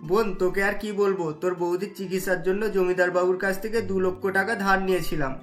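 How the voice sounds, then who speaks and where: A voice actor plays a poor cartoon husband who deals in scrap, at a mid pitch, worried and earnest. He pleads and frets, and soft music plays underneath. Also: steady tone, a little fast, natural voice. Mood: anxious. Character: poor, desperate middle-aged scrap dealer husband.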